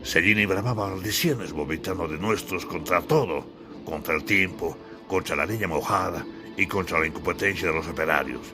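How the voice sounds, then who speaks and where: A man from Bolivia narrates an audiobook. His voice sits very low, and he delivers scripted literary prose with theatrical emphasis. Music plays clearly underneath. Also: normal speed.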